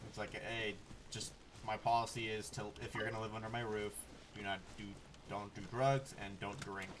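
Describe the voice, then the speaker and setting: A man's voice: fairly low pitch, flat delivery, moderate pace. A twenty-year-old man giving casual, rambling gameplay commentary as a streamer, blunt and free with swearing. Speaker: game streamer. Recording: noisy, distant mic with clicks. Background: game sounds, clicking.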